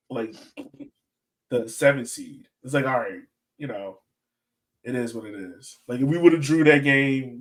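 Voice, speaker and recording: A man's voice: medium pitch, low-quality recording. Casual guy hosting a soccer podcast. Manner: rambling, colloquial, conversational. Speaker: podcast host. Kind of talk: casual soccer fan chat.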